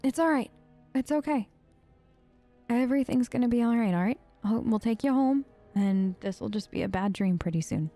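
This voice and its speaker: A woman's voice: on the high side, moderate pace, warm tone, faint music behind. A woman gaming host doing casual let's play commentary, talking in character. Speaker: gaming host, role-player.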